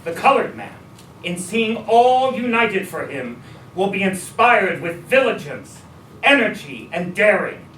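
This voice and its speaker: A man playing an earnest nineteenth-century statesman giving a measured, emphatic political speech in a staged reading. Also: high voice, sing-song, sharp tone, heavily acted. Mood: earnest, stirring. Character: Abraham Lincoln, statesman orating earnestly to a crowd. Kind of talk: formal 19th-century political oratory. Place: indoor restaurant staged reading. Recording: echoey live restaurant, distant, some noise.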